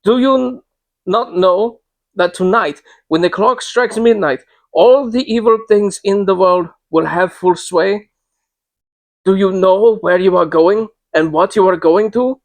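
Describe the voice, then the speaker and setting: Man putting on a frantic, high-pitched old landlady. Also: measured pace, no room sound. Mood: fearful warning. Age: older. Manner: urgent, pleading, emphatic. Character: frightened, hysterical old landlady, pleading and foreboding. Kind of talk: dramatic reading of a gothic novel.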